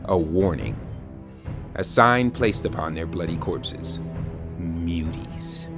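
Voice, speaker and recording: A man narrating an audio drama as a grave storyteller, with deliberate, dramatic scripted delivery. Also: deep voice, loud music behind the voice. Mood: grave, somber. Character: grave, omniscient male storyteller.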